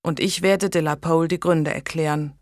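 A woman voicing a gentle, pious king who speaks calmly and deliberately, in a storybook reading. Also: low-pitched, no echo, clean studio sound, close to an everyday voice. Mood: measured. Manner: calm, measured.

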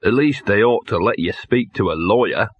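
A man voicing a cynical, maudlin little talking dog, hoarse and drunkenly slurred, in a comic fantasy audiobook. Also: lowish voice, animated, normal speed, no room sound, dull audio. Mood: gruff defiance. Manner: slurred, rambling, grumbling.

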